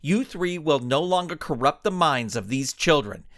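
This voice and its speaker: A man's voice: fairly high pitch, lively intonation, normal speed, a bit bright. A man voicing a stern, belligerent female superhero in a story, barking clipped commands. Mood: angry, commanding.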